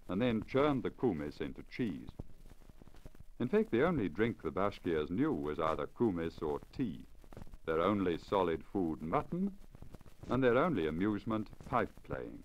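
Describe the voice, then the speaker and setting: Deep-voiced man reading, crackly old disc. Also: sing-song, moderate pace. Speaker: story reader. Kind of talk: 1950 radio story reading, scripted literary narration. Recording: crackly, dull 1950 lacquer disc recording.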